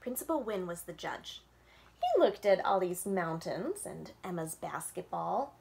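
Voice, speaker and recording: A woman's voice: lowish voice, big pitch swings, measured pace, vocal fry, a little mellow. A woman in her thirties, a children's librarian, reading a storybook aloud expressively at home in front of a webcam. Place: home room with bookshelves, indoors. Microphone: webcam at desk distance.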